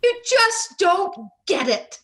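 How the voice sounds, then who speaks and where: A woman's voice: extremely high pitch, big pitch swings, slow, fairly bright tone, soft background music, exaggerated voice. A woman playing a stubborn, defensive mother of a grown son in a live play reading over a video call, indignant and exclamatory.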